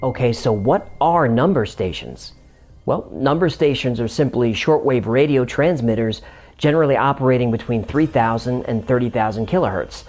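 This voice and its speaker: Male explainer host over soft music. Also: medium pitch, lively intonation, normal speed. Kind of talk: scripted explainer narration with comic banter.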